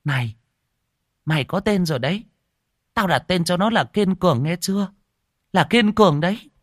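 A man voicing a gruff, foul-mouthed old woman of about seventy who scolds loudly but is kind underneath. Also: high-pitched, animated, moderate pace, no echo, clean studio sound, pitched above the performer's own voice. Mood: scolding. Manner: scolding, cursing.

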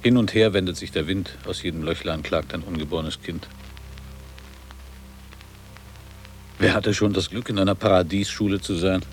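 A man plays a reflective older man looking back on his school days in a literary radio play. His voice sits low, and he speaks in measured, lyrical, formal phrases over music. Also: natural voice. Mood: pensive, poetic. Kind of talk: literary radio drama monologue.

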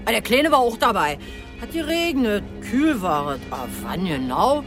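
A woman's voice: mid-range voice, sing-song, moderate pace, music in the background, lightly acted. An actress playing a chatty, vague local woman in a radio drama, rambling as she recalls things. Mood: vague, musing.

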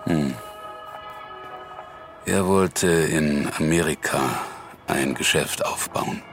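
Very deep, gravelly, world-weary man. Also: takes it slowly, soft background music, heavily acted. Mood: resigned. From New York, United States. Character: laconic, world-weary American man confiding in his lover. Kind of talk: intimate radio drama acting.

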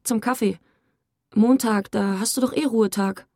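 Woman playing a casual messenger, radio play, dark voice, a little gravelly. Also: fairly high pitch, normal speed, a little hiss or hum, lightly acted. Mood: offhand. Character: woman passing on a message.

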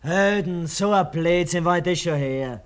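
An actor plays an Austrian tunnel worker, a man with a high-pitched voice. He is earthy, blunt and sardonic, talking colloquially in a radio play. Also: measured pace, clean tone, lightly acted. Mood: scornful, grumbling. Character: gruff, scoffing tunnel labourer, a man. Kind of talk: radio drama dialogue, colloquial.

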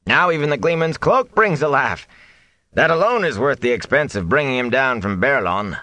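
A man's voice: mid-range voice, animated, normal speed, pushed and bright, no echo, voice pushed up. A man voicing a shrewd, stout middle-aged innkeeper who is also the village mayor, hearty and wry.

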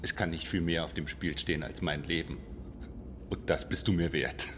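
A man's voice: a bass voice, fairly even intonation, normal speed, background noise. An actor playing an enamoured man ready to risk everything in a radio play, with music behind him. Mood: ardent resolve. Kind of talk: German radio play acting.

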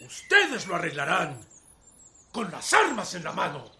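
An actor playing a defiant Mexican peasant rebel leader in a radio drama, firm and declamatory, with a Mexican accent. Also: male voice, high voice, huge pitch swings, measured pace, vocal fry, a loud crowd, heavily acted. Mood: defiant, resolute. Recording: faint outdoor noise with birdsong. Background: birdsong.

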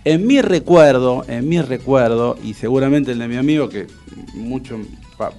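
A middle-aged Argentine man with a Rioplatense accent, hosting a radio interview in a chatty, relaxed and informal way that wanders off into asides. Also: mid-range voice, normal speed, gravelly, faint music behind. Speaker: radio host. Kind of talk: casual radio interview chat.

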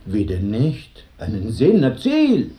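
A man's voice: mid-range voice, huge pitch swings, takes it slowly, warm tone. A man playing an anxious, stubborn male tramp in a German radio play, answering in terse, sceptical riddles. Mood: questioning, stubborn.

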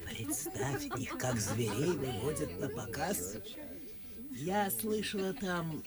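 An old, imperious society aunt grumbles bluntly in a radio drama. Her voice is low for a woman and drawls slowly, rising and falling widely. A crowd murmurs behind her. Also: sing-song. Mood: imperious, gossipy. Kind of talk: theatrical radio play acting.

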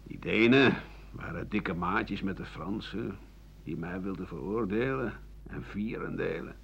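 An actor playing a bitter, aging exiled writer-doctor in a radio drama monologue, sardonic and halting, often sinking to a husky mutter. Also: male voice, sits low, full character voice. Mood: bitter, weary. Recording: muffled 1980 radio broadcast.

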